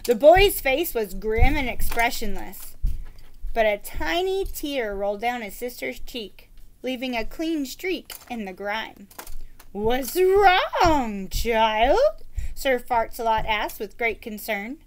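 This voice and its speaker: High-pitched, animated woman storyteller. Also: unhurried. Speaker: read-aloud storyteller. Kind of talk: expressive children's book narration.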